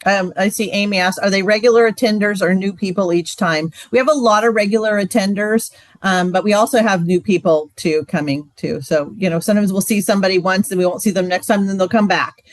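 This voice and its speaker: A woman speaking in a dry, close-sounding space at a middle pitch. She talks casually and chattily, peppering her answers with "like" and "um". She sounds like a ministry co-leader sharing practical details. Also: steady tone, a little fast, dry recording. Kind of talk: training session talk.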